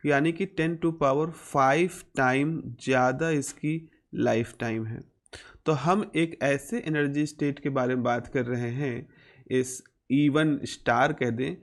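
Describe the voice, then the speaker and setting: Male Indian physics lecturer, mid-pitched, instructional delivery, explains step by step and repeats key terms. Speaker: physics teacher.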